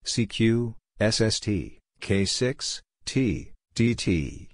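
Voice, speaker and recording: A man's voice: lowish voice, expressive, drawn-out words. A man announcing Morse code practice, reading letters, numbers and short phrases in clipped, separated words.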